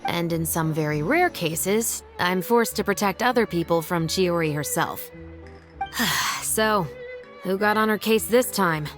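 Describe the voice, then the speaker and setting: A woman's voice: fairly low pitch, measured pace, natural voice. A woman playing a stern, matter-of-fact patrol captain with a dry wit, in a game cutscene. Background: game music.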